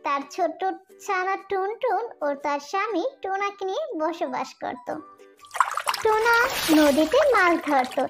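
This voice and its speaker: A woman's voice: extremely high pitch, animated, a little slow, fairly bright tone, faint music behind. A woman narrating a scripted fairy tale for children as a storyteller.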